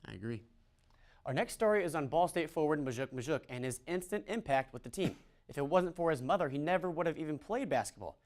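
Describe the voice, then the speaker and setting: A young man anchoring a student sports show, talking about the team with quick enthusiasm in a TV studio. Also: on the high side, animated. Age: young adult.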